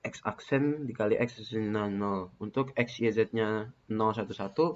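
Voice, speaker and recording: A man's voice: fairly low pitch, moderate pace, a little hiss or hum. A young man, a student, reading out truth-table results step by step in a methodical way with hesitant fillers. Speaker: student presenting a case study. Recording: muffled lo-fi recording.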